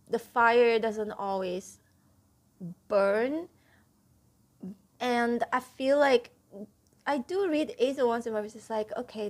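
A chatty woman lays out tarot cards at a home table and talks to her viewers, her voice a little stuffy. She leans on key words and keeps checking in with a quick "okay?". Her pitch sits in a middle range. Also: darkish tone. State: slightly stuffy, clears throat. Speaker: tarot reader. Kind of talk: casual tarot reading to camera. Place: indoors at a table, home room.

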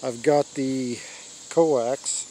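An older American man giving a casual, unscripted show-and-tell in halting short phrases, recorded outdoors on a handheld device. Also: medium pitch, fairly even intonation, dark tone, slight background noise, rough recording. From United States. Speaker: radio hobbyist giving a tour. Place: open rural backyard, outdoors. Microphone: handheld phone or camera mic.

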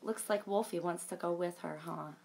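A woman in her thirties reading a picture book aloud to children, expressive, with chatty asides to young listeners. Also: fairly low pitch, normal speed, creaky, slight background noise. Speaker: library story time presenter.

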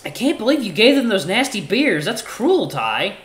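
Guy playing chill young dude from a story, friendly, animated delivery, echoey room. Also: high-pitched, normal speed, voice pushed up. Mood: casual. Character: easygoing young male narrator-hero working a stall.

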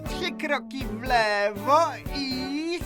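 A woman's voice: sits high, animated, extremely slow, over music, heavily acted. A woman voicing an exuberant, encouraging dance teacher calling out instructions in a children's audio tale. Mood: encouraging, commanding.

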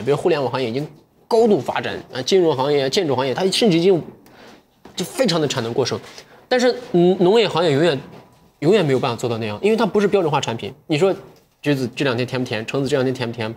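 A man's voice: medium pitch, slight background noise. A young man hosting a livestream from an indoor studio, chatting to viewers, selling goods and talking about a book. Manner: lively, talks straight to viewers. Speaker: livestream sales host.

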